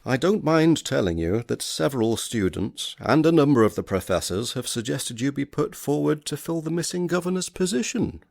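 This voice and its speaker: A man plays an older Victorian chairman in a stage drama. His voice has a gravelly creak and moves widely in pitch. He sounds authoritative yet fatherly, choosing his words with formality. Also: sing-song, moderate pace, few pauses, darkish tone, no room sound, studio quality. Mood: encouraging, fatherly.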